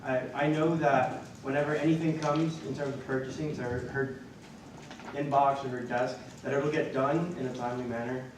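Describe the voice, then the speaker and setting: A man's voice: medium pitch, steady tone, normal speed. A young man in student government presenting a nominee in a formal speech, with many ums and restarts. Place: large echoey meeting hall. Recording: echoey hall, far from the mic, noisy.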